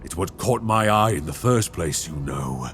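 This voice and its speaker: A man voicing a towering, ancient, possessive male dragon in a binaural ASMR roleplay, talking slowly and intimately, coaxing with an edge of menace. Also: low-pitched, animated, soft background music. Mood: seductive. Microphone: binaural mic.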